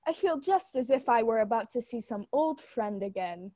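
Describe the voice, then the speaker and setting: A cheerful, carefree fairy-tale father who draws out every happy line slowly, as a woman reads him aloud. The voice is fairly high and the sound is close and dry. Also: animated, mellow, no room sound. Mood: contented. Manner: slow, gladly drawn-out lines. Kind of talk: amateur group read-aloud of a fairy tale.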